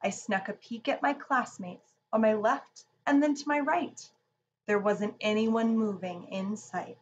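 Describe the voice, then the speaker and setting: A woman reading a children's storybook and guiding relaxation in a calm, gentle manner. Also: on the high side, a little slow, dull audio, slight background noise. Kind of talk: children's storybook read-aloud and guided relaxation.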